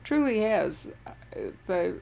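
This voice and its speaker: A woman's voice: medium pitch, big pitch swings, takes it slowly, creaky, warm tone, slight background noise. A woman hosting a book club interview, effusive and enthusiastic as she asks questions.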